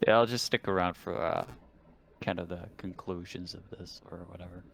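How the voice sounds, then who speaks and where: A man playing a tabletop game, talking casually in a halting, musing way, with faint noise on the recording. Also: sits low, fairly even intonation, creaky, fairly bright tone.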